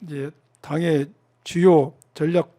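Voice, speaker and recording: A man's voice: a little high, steady tone, takes it slowly, dark tone. A middle-aged man, a lawmaker guest speaking plainly and repeating his points for emphasis. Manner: plain, repetitive, emphatic, jokes a little. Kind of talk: talk show interview.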